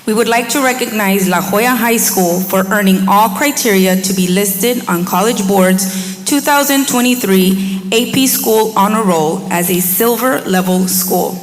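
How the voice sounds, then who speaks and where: A woman presenting a school award to a board, reading a prepared text clearly and formally. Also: mid-range voice, a little slow, long reverb tail, faint music behind, poor audio. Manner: reads out a prepared recognition clearly.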